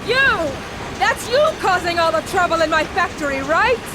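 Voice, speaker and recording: Power-mad villainess for a game: snarling menace, high register, very animated delivery. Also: female voice, moderate pace, a crowd in the background. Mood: brash, taunting. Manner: brash, menacing, erratic. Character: volatile, power-hungry villainess, snarling factory boss. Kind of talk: video game voice acting. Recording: noisy machinery ambience behind.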